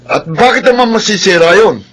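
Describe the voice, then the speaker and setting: An actor playing a scheming, hot-tempered rich son in a radio drama, bossy and quick to get defensive. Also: male voice, high voice, expressive, a bit bright. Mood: angry, threatening. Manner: bossy, defensive, stammers when cornered.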